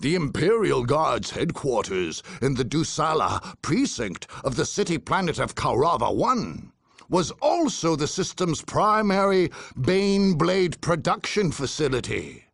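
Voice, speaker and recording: An actor narrating as a grandiose war chronicler in a dark sci-fi game, with a raspy, portentous, emphatic delivery. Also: male voice, on the high side, big pitch swings, measured pace, no echo, heavily acted. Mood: grave, declamatory. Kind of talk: dramatic scripted narration.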